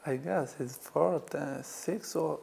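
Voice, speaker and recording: Hesitant man, gravelly, halting English. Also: on the high side, warm tone. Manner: halting, broken English with many fillers. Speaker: interview guest. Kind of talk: casual chat.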